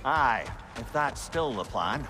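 An actor playing a shrewd, loyal advisor in a video game, with a wry, wordy, earnest way of talking. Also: male voice, mid-range voice, lively intonation, slow pace, background noise. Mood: wry concern. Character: shrewd, worldly male advisor and loyal companion. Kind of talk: video game character acting.

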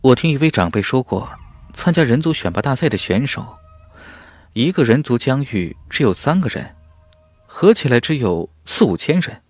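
A modest young hero of a fantasy audiobook, played by a male narrator. He sounds earnest and level-headed, with animated pitch in a dry recording. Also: normal speed, no room sound, faint music behind. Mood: puzzled.

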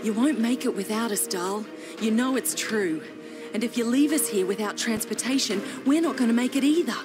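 A woman's voice: fairly high pitch, normal speed, music in the background, lightly acted. Blunt, commanding woman survivor played by an actress. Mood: firm, persuasive. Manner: blunt, commanding, calls people doll and love. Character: tough, pragmatic woman survivor, blunt leader in crisis. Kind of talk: video game cutscene acting.

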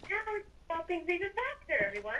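A woman's voice: very high voice, very animated, fast talker, bright, forceful tone, muffled recording, slight background noise, heavily acted. A woman playing a breezy, teasing villain housemate in an improvised Minecraft roleplay. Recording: distant home microphone.

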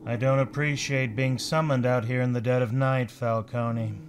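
A man playing a gruff, weary but decent police officer in a comic book fan dub, dry and plainspoken. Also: mid-range voice, steady tone, normal speed, a little hiss or hum, lightly acted. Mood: annoyed, dry.

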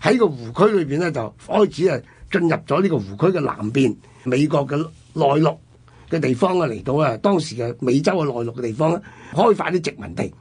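A man's voice: on the high side, animated, brisk, darkish tone, slightly rough. A man with a Hong Kong Cantonese accent, telling history conversationally as a radio co-host.

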